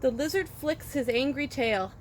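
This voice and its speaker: A woman reading a children's picture book aloud as a childcare storyteller, expressive and rhythmic with the rhymes. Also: sits high, moderate pace. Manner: expressive, rhythmic rhyming delivery. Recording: faint background noise.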